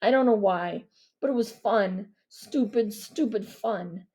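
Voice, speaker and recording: A woman's voice: mid-range voice, takes it slowly, mellow, lightly acted. A woman doing a defensive teenage bully boy. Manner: defensive, pleading.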